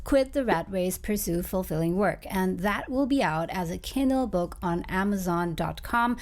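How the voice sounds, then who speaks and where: A woman's voice: on the low side, animated, normal speed, darkish tone. A woman who grew up in Germany and Indonesia, a native German speaker, telling her story casually as a vlogger with explanatory asides for viewers. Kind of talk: casual personal storytelling to camera.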